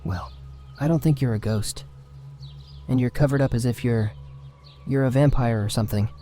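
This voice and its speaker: A man playing a troubled young orphan boy, proud and misunderstood, who talks in a halting, defensive, earnest way in a fantasy horror audio drama. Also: mid-range voice, warm tone, a little hiss or hum, natural voice. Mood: wary, curious.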